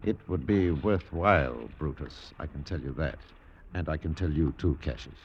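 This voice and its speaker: An actor in a classic radio drama playing a vain, imperious Roman ruler who speaks in grandiose declamation. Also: male voice, extremely low pitch, animated, moderate pace, gravelly, muffled recording, slight background noise, full character voice. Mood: smug.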